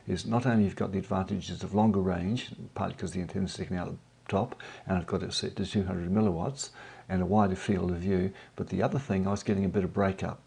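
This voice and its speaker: Croaky man with a cold, low and gravelly. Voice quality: gravelly, husky. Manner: relaxed, hesitant, many ums and uhs. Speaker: drone hobbyist vlogger. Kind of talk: casual voice-over narration.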